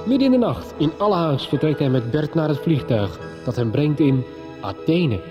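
A man's voice: on the high side, animated, normal speed, close to an everyday voice. A man narrating the story so far in a radio soap, in a scripted storytelling style. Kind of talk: radio drama recap narration. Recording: dull 1990s radio recording. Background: music.

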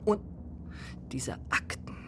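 A man's voice: slow, in a whisper, close to an everyday voice. A man playing an imperious, vain and menacing businessman in a radio drama, with a clipped, brooding manner. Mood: cold, commanding. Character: imperious, vain, violent businessman giving covert orders. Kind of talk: German radio drama acting.

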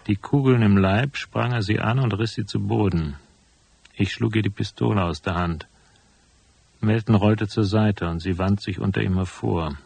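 An actor playing a shrewd, unflappable private detective in a radio crime drama, speaking in a dry, deliberate way. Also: male voice, on the low side, fairly even intonation, creaky, darkish tone, slight background noise. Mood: tense narration. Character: cool, laconic hardboiled male private detective, shrewd and unflappable. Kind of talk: German radio crime drama acting with first-person narration.

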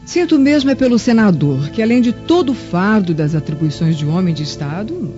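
A woman acting a sly, spiteful Roman lady in a radio drama. Her mellow voice is laced with sarcasm and false sweetness, on a muffled recording with light music. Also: mid-range voice, animated, fairly quick, close to an everyday voice. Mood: spiteful, insinuating. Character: venomous, insinuating Roman noblewoman, sly and haughty.

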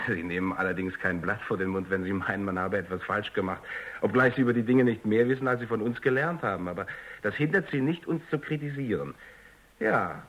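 A young physicist in a radio play. His voice is moderately low. He sounds unsure of himself, defensive and easily irritated. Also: a bit bright. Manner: hesitant, defensive. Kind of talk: German radio drama acting.

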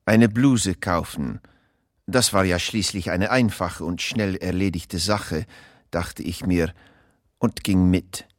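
An actor playing a put-upon nephew who narrates his misfortune in a literary radio play, measured and wry. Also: sits low, no room sound, studio quality, heavily acted. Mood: wry emphasis. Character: put-upon nephew recounting a shopping disaster. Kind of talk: literary first-person radio drama narration.

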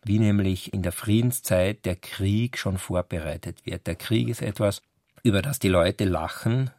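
A man explaining things deliberately, phrase by phrase, as a literary scholar in an interview. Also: on the low side, darkish tone, no room sound, clean studio sound.

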